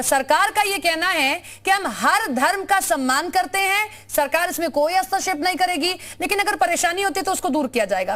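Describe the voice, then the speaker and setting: A woman anchoring a TV news debate, introducing guests and putting brisk, formal questions to them. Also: very high-pitched, a bit bright.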